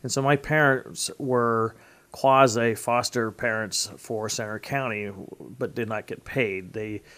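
A man's voice: mid-range voice, fairly even intonation, takes it slowly, fairly bright tone. An American man in his fifties speaking conversationally as an interviewed state legislator, recounting personal and local stories. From Pennsylvania, United States.

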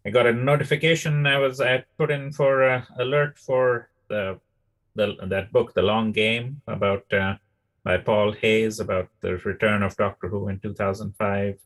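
A man co-hosting a podcast in casual chat, with a halting way of talking. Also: lowish voice, steady tone, a bit bright, poor audio. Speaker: podcast co-host.

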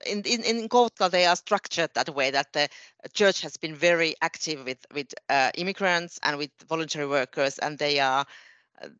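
A woman who speaks Finnish natively, joining a casual discussion as a guest, halting and repeating words as she searches for phrasing. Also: deep voice, fairly bright tone, no room sound, lo-fi sound.

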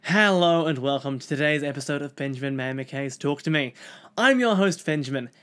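A man's voice: a little high, animated, brisk, a bit bright, clean tone. A man hosting an interview show, reading upbeat introductions and asking questions. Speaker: podcast host.

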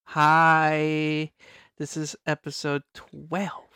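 A man's voice: a little high, completely flat delivery, drawn-out words, sharp tone, smooth, no echo, studio quality. A man co-hosting a podcast in casual chat, joking and bantering playfully with friends. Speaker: podcast co-host. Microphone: handheld or adjustable podcast mic, held close.

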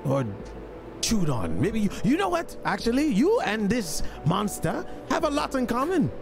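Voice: mid-range voice, wildly swooping pitch, moderate pace, gravelly, over music. A tabletop player speaking sarcastically in character, needling another player. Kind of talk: in-character roleplay.

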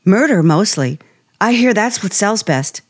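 A nervous polite young woman, old radio suspense, slow n hesitant, lots of up and down. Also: mid-range voice, expressive, slightly rough. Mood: polite, shy. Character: timid, polite, nervous young female aspiring writer. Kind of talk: 1950s radio suspense acting.

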